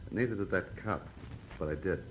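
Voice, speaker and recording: Man acting a very deep-voiced tough brother. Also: moderate pace, natural voice. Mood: defensive. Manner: tough street talk, stubborn. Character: defensive, bitter, protective older brother confessing to murder. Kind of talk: old-time radio noir acting. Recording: noisy old radio drama recording.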